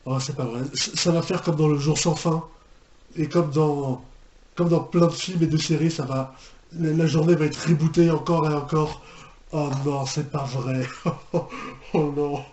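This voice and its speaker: A young man giving unscripted reaction commentary, halting and repeating himself in an incredulous way. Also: on the high side, steady tone, a little mellow. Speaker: reaction video host. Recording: echoey room with background noise.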